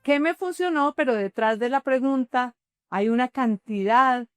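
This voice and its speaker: A woman's voice: sits high, measured pace, clean tone, no room sound, clean studio sound, faint music behind. A woman podcast host, introducing guests at length in a chatty way and affirming them as they talk. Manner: chatty, affirming listener, long framing introductions. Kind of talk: warm podcast hosting and interviewing.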